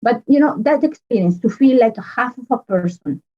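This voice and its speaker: A woman, an earnest podcast guest talking in halting phrases full of restarts and fillers. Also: fairly high pitch, lively intonation, dull audio. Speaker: podcast guest, business consultant. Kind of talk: casual interview conversation.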